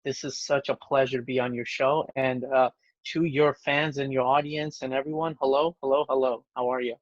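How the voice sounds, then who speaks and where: A man's voice: mid-range voice, little pitch movement, normal speed, no echo. A man with an American accent giving conversational, explanatory interview answers, often checking in with the listener, over slightly dull call audio. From born in India, moved to the United States young. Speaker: podcast guest, networking expert.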